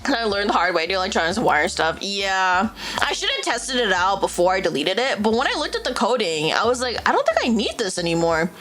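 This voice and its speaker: A woman streaming as a VTuber, chatting casually and reading stories aloud, quick to exclaim, swear and laugh. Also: medium pitch, big pitch swings, a bit bright, faint music behind.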